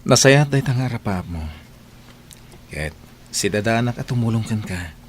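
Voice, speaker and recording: A man's voice: lowish voice, animated, moderate pace, a little hiss or hum, close to an everyday voice. A man playing a sincere, lovestruck young master of the house, tenderly wooing in an Ilocano radio drama. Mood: encouraging.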